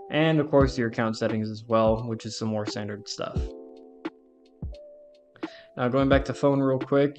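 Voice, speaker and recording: A man presenting a step-by-step tech tutorial in an informal, conversational instructional manner. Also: mid-range voice. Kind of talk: casual step-by-step software walkthrough.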